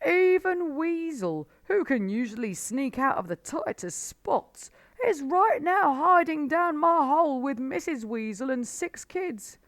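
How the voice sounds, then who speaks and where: A woman's voice: sits high, very animated, a little slow, dry recording, voice pushed up, exaggerated voice. A woman voicing a desperate, anguished old male badger in a storybook. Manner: anguished, pleading, loud.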